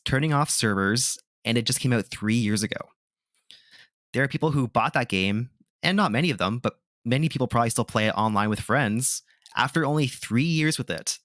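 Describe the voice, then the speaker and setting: A man's voice: on the low side, a bit bright, no echo, studio quality. A man hosting a gaming podcast, talking casually and giving his opinions with lots of filler words. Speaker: podcast host. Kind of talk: casual chat.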